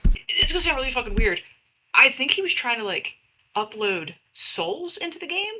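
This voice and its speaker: A woman playing a blunt, exasperated female investigator in a tabletop game, halting and sweary. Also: high-pitched, very animated, sharp tone, a little hiss or hum, voice pushed up, full character voice, low-quality recording. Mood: blunt, puzzled.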